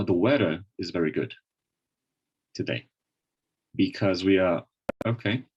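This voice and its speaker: A man's voice: deep voice, husky, low-quality recording. A Brazilian man with a Brazilian Portuguese accent, giving an online lecture and often restarting his sentences as he explains. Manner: thoughtful, many restarts and fillers. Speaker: webinar presenter.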